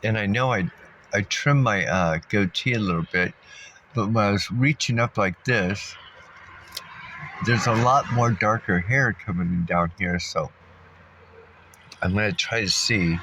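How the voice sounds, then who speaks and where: A man with a very low voice talks on in a loose, wandering monologue, full of ums and restarts. He sounds congested from dust and clears his throat now and then. Faint background ambience sits under the recording. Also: a bit bright, muffled recording, a little hiss or hum. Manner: meandering, thinks aloud with many fillers. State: congested, coughing. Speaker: vlogger. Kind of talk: rambling casual monologue. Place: sitting in a dusty spot, maybe in a car.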